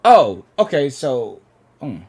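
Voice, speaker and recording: A woman's voice: rumbling low, very animated, slow pace, a little mellow, slightly rough. A woman giving casual reaction commentary on a game, rambling and exclamatory as she repeats herself. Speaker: reaction video host. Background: game music.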